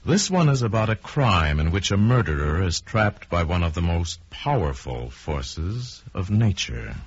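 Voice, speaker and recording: A man narrating a suspense tale in a deliberate, theatrical old-time radio drama style. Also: sits low, sing-song, slightly rough, dry recording. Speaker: storyteller narrator. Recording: muffled old radio recording with music. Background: dramatic music.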